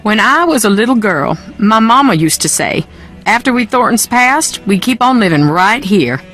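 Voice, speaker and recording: A woman's voice: fairly high pitch, animated, normal speed, a bit bright, soft background music. A woman playing a troubled, haughty woman in a video game, brittle and curt, with a Southern US accent. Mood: wistful, uneasy.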